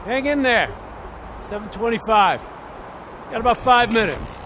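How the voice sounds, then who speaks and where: An actor playing an earnest, steady male cyber soldier in a video game cutscene. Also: extremely high pitch, unhurried, background noise. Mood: urgent, wry.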